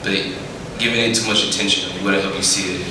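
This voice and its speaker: A teenage boy performing a reflective spoken word piece in a slow, thoughtful delivery. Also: sits low, level tone. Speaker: teen poet. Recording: echoey hall from far off, noisy.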